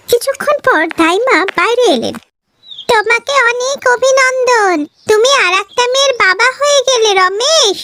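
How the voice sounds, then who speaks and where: A woman's voice: very high voice, slight background noise. A woman narrating a scripted fairy-tale cartoon as a storyteller.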